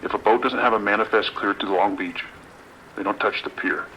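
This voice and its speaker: A man's voice: lowish voice, steady tone, fairly quick, pushed and bright, slight background noise, low-quality recording. A man playing a stern, authoritative sheriff's lieutenant with a clipped delivery in an audio drama. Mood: firm, urgent.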